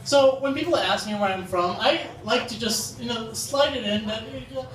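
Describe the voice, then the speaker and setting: A young man from Dubai performing stand-up comedy at an open mic in an animated manner, recorded in an echoey, noisy room from far off. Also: very high-pitched, normal speed. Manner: animated, acts out short quoted exchanges. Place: open mic comedy venue in front of an audience.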